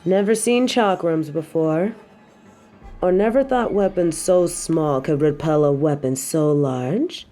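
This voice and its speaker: Woman playing a slow-talking, haughty evil queen. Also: low voice, dark tone, faint music behind. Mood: condescending, taunting. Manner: slow, taunting, imperious, with breathy drops to a whisper. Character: evil, possessive, haughty adult queen and dictator. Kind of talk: scripted audio roleplay drama.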